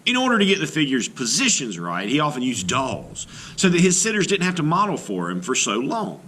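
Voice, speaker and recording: Bright, lively male read-aloud teacher. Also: a little high, a little fast, a little hiss or hum. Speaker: art teacher and host. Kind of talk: read-aloud lesson narration for children.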